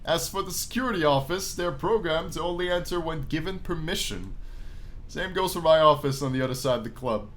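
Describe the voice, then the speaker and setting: A man plays a jaded nightclub boss from a visual novel game. He talks bluntly and with slang, worn out and unimpressed. The voice sits a bit high for a man, with noise behind it. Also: animated, brisk, a bit bright. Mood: weary, warning. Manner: blunt, slangy, world-weary.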